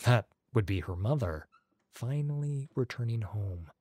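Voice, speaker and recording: A man narrating an audiobook story in a measured, expressive way. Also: mid-range voice, a little hiss or hum.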